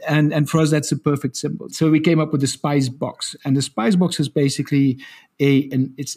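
A middle-aged man in an interview, telling stories in an animated way and laughing often, with frequent restarts. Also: slightly rough. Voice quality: says he has a deeper voice. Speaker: guest, co-founder of a school. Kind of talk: conversational interview storytelling.

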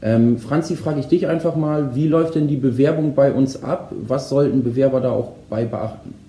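Man, podcast host, friendly casual moderating, mellow tone, echoey room. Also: medium pitch, moderate pace. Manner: friendly, frequent "ähm" fillers. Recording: roomy echo.

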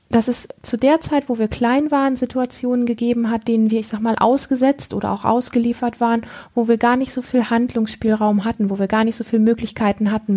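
An earnest woman explains inner awareness in a coaching talk, stopping often to search for the right word. Her voice sits a little high and sounds mellow and breathy. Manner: earnest, searching for words with many fillers. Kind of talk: reflective teaching talk.

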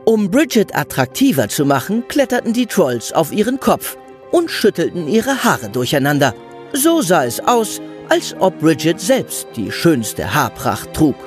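A woman's voice: on the low side, sing-song, measured pace, darkish tone, faint music behind. A woman playing the storyteller in a children's audio play, reading scripted narration. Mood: animated storytelling.

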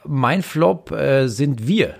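Guy cohosting a racing podcast, gravelly voice, rambles a lot with lots of ähm. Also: medium pitch, slow pace. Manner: rambling, animated, many fillers. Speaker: podcast co-host, former racing driver. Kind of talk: casual chat.

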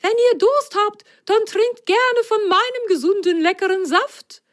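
Storybook lemon fairy, tiny sky-high voice, kind and inviting, a woman puts it on. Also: unhurried. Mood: welcoming. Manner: very high, sweet, welcoming. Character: kind magical talking lemon, motherly.

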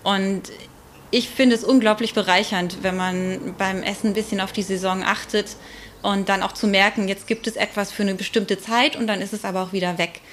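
A woman with a mid-pitched voice speaks as an expert guest in an interview. She explains in an informal, thoughtful way, often pausing on filled hesitations as she goes. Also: steady tone, slight background noise.

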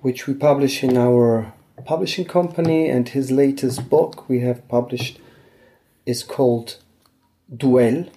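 A man with a mellow, soft-edged voice hosting a publisher's podcast. He speaks in measured, deliberate phrases and keeps responding with short acknowledgements. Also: mid-range voice, slight background noise, rough recording. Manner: measured, deliberate questions with frequent "I see". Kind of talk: interview.

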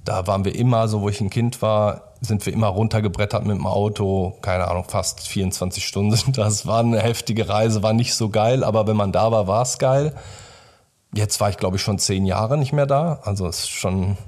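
A man's voice: on the low side, fairly even intonation, moderate pace, darkish tone. A young man from Germany chatting casually as a podcast co-host, in a colloquial, slangy and enthusiastic way. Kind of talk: casual chat.

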